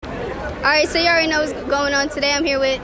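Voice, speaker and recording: A woman's voice: sits high, fairly even intonation, fast talker. A young woman hosting a casual street interview, hyped and repeating her catchphrases. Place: shopping mall indoors. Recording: busy mall with music and crowd.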